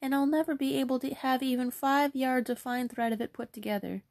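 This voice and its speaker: A woman voicing a frightened young country girl, pitched high and speaking urgently. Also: steady tone, brisk, gravelly, darkish tone, no room sound, clean studio sound. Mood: distressed.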